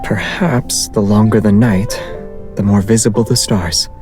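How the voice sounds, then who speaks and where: An actor playing a calm, soft-spoken young man in a game, speaking in an unhurried, understated, intimate way. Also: on the low side, close to an everyday voice. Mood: quiet, reflective. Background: music.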